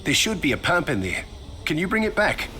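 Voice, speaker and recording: A man's voice: on the high side, sing-song, brisk, bright, forceful tone, slight background noise. An actor playing a practical, resourceful male survivor in a video game. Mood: businesslike. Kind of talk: video game cutscene dialogue.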